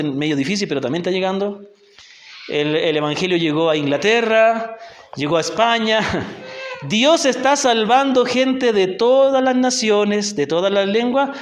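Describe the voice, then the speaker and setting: A Chilean man with a high voice leads a Bible class as a missionary teacher. He talks patiently, putting questions to his listeners and checking that they follow. His voice carries through an echoey church hall. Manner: patient, asks the group questions, often checks with "¿ya?". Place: church hall in a rural community.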